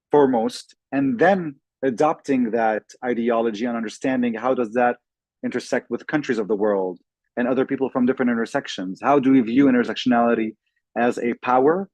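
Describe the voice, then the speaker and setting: A man's voice: medium pitch, darkish tone, no echo. A man from Lebanon speaks fluently, listing things one after another with the odd um. He is introducing himself as a panelist. The sound is dry, as on a video call. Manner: fluent, listing, with filler ums. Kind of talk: self-introduction on a webinar panel.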